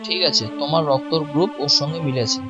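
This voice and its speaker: A man playing a brisk, reassuring village doctor in a cartoon. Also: fairly high pitch, highs cut off, music in the background, close to an everyday voice. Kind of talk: cartoon dubbing.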